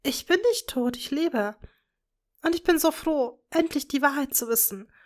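A woman's voice: moderate pace, natural voice. A woman voicing a shy, tearful young witch who stammers in a raised voice. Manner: stammering, high and eager. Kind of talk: fan fiction reading.

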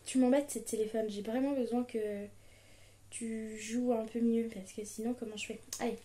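A young woman in her twenties recording a casual diction tutorial at home, chatting and reading children's book blurbs aloud with lively intonation. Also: fairly high pitch, normal speed. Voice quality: muffled, as if nasal, from a pen held in her mouth. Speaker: vlogger. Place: small living room at home, indoors.

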